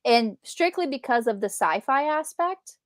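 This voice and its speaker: A woman co-hosting a casual book discussion, retelling in a chatty, animated way with quoted asides. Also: fairly high pitch, measured pace, dry recording, studio quality. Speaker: book club co-host.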